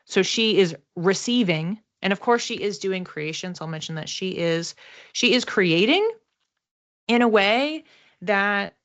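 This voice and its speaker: A woman's voice: medium pitch, lively intonation, unhurried. Woman in casual explanatory talk, slightly muffled. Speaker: psychic reader, host. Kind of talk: casual explanatory card reading to camera.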